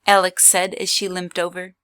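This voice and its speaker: Bright-voiced woman narrating a story. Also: on the low side, monotone, moderate pace, clear, resonant tone.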